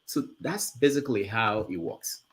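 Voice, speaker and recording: A man's voice: on the low side, expressive, moderate pace. A man hosting a panel discussion, explaining things point by point in an emphatic way. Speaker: show host.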